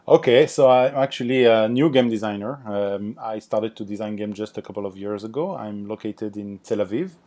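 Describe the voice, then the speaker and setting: A man's voice: lowish voice, normal speed, warm tone. A man with a French accent, a game designer talking as a podcast guest, with frequent fillers and restarts. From France. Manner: hesitant, many fillers and restarts. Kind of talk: podcast interview.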